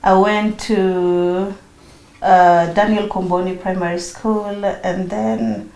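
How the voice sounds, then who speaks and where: A woman's voice: medium pitch, level tone, slow pace, darkish tone. A bubbly woman in her thirties with a Kenyan accent tells her personal story in a chatty way. Her voice sits mid-range for a woman. An echo fills the room around her. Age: 30s. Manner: bubbly, chatty, with many fillers and laughs. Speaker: interview guest.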